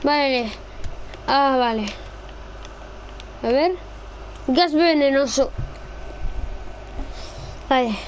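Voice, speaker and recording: High-pitched young Spanish gamer woman. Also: extremely slow, long pauses, a little hiss or hum, rough recording. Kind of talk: casual gameplay role-play chat.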